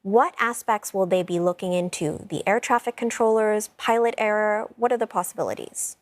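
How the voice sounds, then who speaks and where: A woman's voice: medium pitch, normal speed, dry recording. A woman presenting the news, putting questions to a guest in broadcast style.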